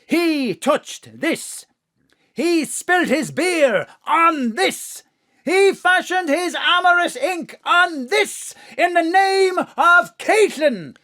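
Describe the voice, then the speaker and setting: A fanatical ringleader in an audio drama. He declaims slowly and grandly, like ritual oratory, with big swings in pitch and a ceremonial, theatrical manner. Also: fairly bright tone, exaggerated voice.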